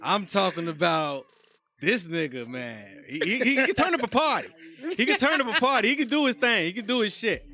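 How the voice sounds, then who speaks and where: Man, podcast host, hype and profane, repeats himself, bright voice. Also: high-pitched, sing-song, a little fast. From Chicago south suburbs. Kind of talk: casual podcast interview.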